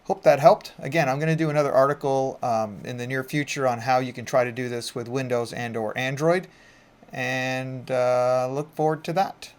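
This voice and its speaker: A man with a mid-pitched voice narrates a technical walkthrough as an instructor. He speaks in an informal, conversational way. He explains each step as he goes, in the style of a casual screen-recorded tutorial. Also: fairly even intonation, normal speed, clean tone. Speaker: IT instructor and presenter.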